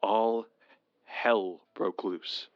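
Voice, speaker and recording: An actor playing a shaken husband in an audio drama, stubbornly insisting in halting phrases that all is fine. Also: male voice, lowish voice, fairly even intonation, dry recording, highs cut off. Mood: shaken, horrified. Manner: halting, breathy sighs, insistent. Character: shaken but stubbornly dismissive husband, alien invasion survivor. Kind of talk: modern audio drama acting.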